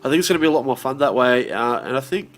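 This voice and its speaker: A young man vlogging casually about his comic work, relaxed and rambling with lots of fillers, a bit sniffly with his voice slightly off. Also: mid-range voice, very fast. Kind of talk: casual video diary.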